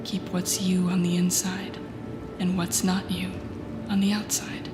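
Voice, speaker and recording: A woman playing a telepathic villain, a guarded and intense mentor with a secretly tender side, in an intimate audio roleplay with slow, breathy, deliberate delivery. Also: fairly low pitch, monotone, close to an everyday voice. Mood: soft, coaxing. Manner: slow, deliberate, often dropping into breathy near-whispers. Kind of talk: intimate audio roleplay acting addressed to the listener. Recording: roomy echo with music behind.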